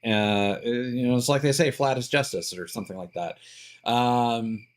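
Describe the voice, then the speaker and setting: A man giving casual live gameplay commentary, explaining details in a halting way and thinking aloud with asides. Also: mid-range voice, slight background noise. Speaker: game streamer.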